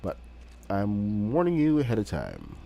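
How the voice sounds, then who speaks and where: Male streamer, slightly low voice, has a cold; loose, rambling play-by-play with fillers. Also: huge pitch swings, a little slow, vocal fry, warm tone. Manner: rambling, with frequent fillers and restarts. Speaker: game streamer. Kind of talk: casual live-stream commentary while building.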